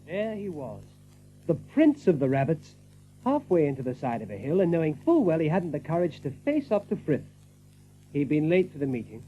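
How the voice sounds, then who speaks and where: Male narrator for dramatic tale. Expressive, animated delivery; tinny, humming recording. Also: fairly high pitch, normal speed, soft background music. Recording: tinny narrow-band audio with hum.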